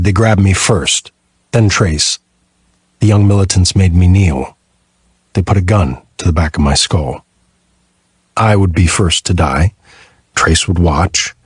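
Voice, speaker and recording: Very deep, husky male narrator. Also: dry recording. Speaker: audiobook narrator. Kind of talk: scripted first-person thriller narration.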